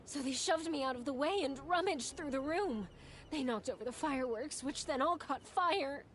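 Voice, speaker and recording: A woman's voice: high-pitched, moderate pace, slight background noise, close to an everyday voice. A woman playing a distressed female fireworks maker in a video game cutscene. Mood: upset, recounting.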